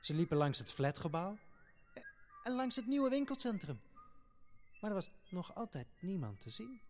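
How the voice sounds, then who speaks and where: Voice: mid-range voice, big pitch swings, normal speed, a little mellow, natural voice. An adult actor playing an eager, playful child who tells the story expressively in a family audio play. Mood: wistful narrating.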